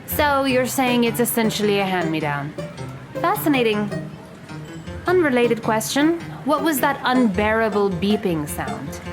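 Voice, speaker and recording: A woman's voice: on the high side, sing-song, unhurried, over music. A woman playing a cold, sarcastic thirteen-year-old girl in an audio drama, with a dry, curt delivery. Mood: sarcastic, dismissive.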